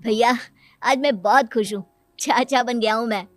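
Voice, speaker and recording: A woman voicing a good-natured young married man, an uncle, in a cartoon moral story, earnest and gentle. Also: fairly high pitch, moderate pace, no room sound, soft background music, natural voice. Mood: joyful.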